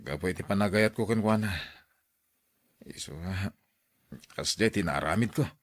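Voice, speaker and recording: A man's voice: on the low side, moderate pace, natural voice. An actor playing a stubborn, lovestruck young man, a devoted son, in an Ilocano radio drama. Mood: dejected. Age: young adult.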